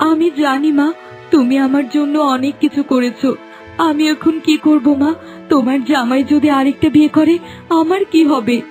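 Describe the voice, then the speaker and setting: A woman acts as a young cartoon wife from a village, stubborn yet distressed. Her voice is high and urgent, tumbling out in emotional pleas. Light music runs behind it. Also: fairly even intonation, darkish tone, natural voice. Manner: quick, pleading, emotional delivery. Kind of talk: melodramatic cartoon acting. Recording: music bed under voice.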